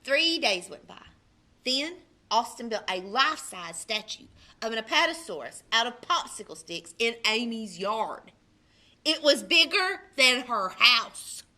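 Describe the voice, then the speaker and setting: A woman, a librarian, reading a children's picture book aloud with expressive delivery. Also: a little high, measured pace. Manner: expressive, puts on character voices in quotes. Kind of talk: storybook read-aloud.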